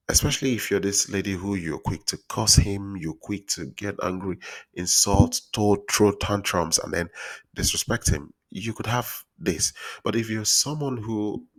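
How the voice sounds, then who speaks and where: A man with a Nigerian accent giving earnest, casual relationship advice straight to his viewers, speaking softly because he is traveling. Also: on the low side, low-quality recording. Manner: earnest, repetitive, addresses viewers as guys. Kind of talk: casual advice monologue to camera.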